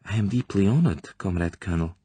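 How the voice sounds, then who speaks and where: A man's voice: on the low side, sing-song, measured pace, vocal fry, darkish tone, husky, heavily acted. A man voicing a wary, guileless young woman corporal who speaks in a meek, hesitant way.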